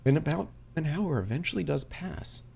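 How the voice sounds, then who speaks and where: A man narrating and refereeing a tabletop roleplaying game as game master, asking measured questions of his players. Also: fairly high pitch, animated, vocal fry, a little mellow, a little hiss or hum.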